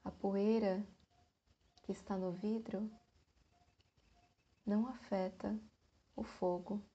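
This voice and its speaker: Lady doing guided meditation, super slow w long pauses, soft breathy mellow voice. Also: medium pitch, little pitch movement, highs cut off.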